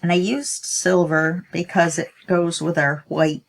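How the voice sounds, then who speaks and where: A woman's voice: on the low side, fairly even intonation, unhurried, creaky. A woman with a stuffy nose, talking to herself while she demonstrates a craft project in a casual tutorial. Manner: chatty, self-talking while working, sniffly. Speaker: crafting channel host.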